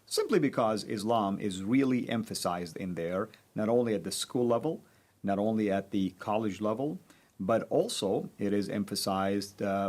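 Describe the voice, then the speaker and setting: Low-voiced man with measured, hesitant delivery. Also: darkish tone. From Saudi Arabia. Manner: measured, frequent pauses and fillers. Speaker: guest. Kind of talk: personal testimony in conversation.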